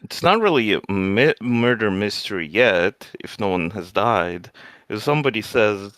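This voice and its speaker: A man's voice: fairly low pitch, animated, normal speed, fairly bright tone, no room sound, studio quality. A man co-commentating on a game stream in casual, joking chat, wry and nitpicking.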